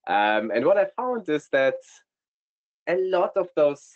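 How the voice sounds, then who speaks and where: A man with a German accent, speaking as a guest data scientist in a webinar, often pausing with ums. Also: fairly high pitch, big pitch swings, highs cut off. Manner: hesitant, many ums. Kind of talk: webinar presentation.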